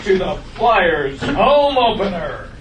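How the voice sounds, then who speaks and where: A man's voice: high-pitched, big pitch swings, slow, heavily acted. A man playing a peppy, smug radio contest DJ with announcer-like delivery at a sitcom table read. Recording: roomy, distant, faintly noisy live recording.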